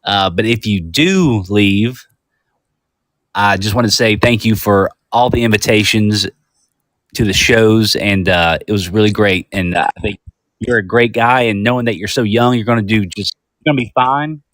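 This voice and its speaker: A man with a fairly low voice speaks as a guest on a video call. He talks earnestly and haltingly, restarting his sentences often. The sound is dry and close. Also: a bit bright, dry recording. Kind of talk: casual video-call talk.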